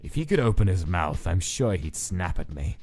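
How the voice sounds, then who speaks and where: Man acting a very deep, cold scientist. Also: big pitch swings, a little mellow. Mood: wary, clinical. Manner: measured, detached, deliberate. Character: cold, clinical male scientist dictating experiment notes. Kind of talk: scripted comic dub, log-style narration. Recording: voice under prominent music.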